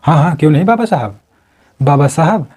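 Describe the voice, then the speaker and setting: A man telling a story plays a loyal, worried male assistant. He is respectful and pleading, with a soft mellow voice pitched slightly high. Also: expressive, a little fast, breathy edge. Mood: eager, obliging. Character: devoted, anxious male personal assistant.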